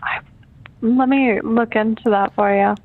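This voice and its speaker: A woman's voice: fairly high pitch, steady tone, unhurried, dry recording. A woman working in customer service, speaking politely and hesitantly over the telephone in an apologetic way. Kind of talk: customer service phone call. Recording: muffled, band-limited phone line.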